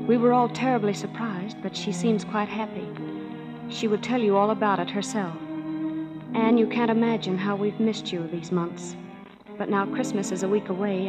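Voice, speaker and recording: A loving aunt, voiced by a woman, reads out her letter gently in a 1930s radio play. Her pitch is ordinary and middle-range. Loud music plays underneath her voice. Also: close to an everyday voice. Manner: warm, gentle letter reading. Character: loving older aunt writing home.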